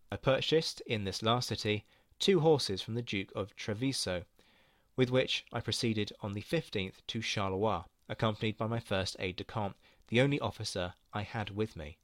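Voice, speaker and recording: A man giving a formal, measured reading of a historical letter. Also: lowish voice, fairly bright tone, no room sound, clean studio sound. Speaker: reader of historical accounts.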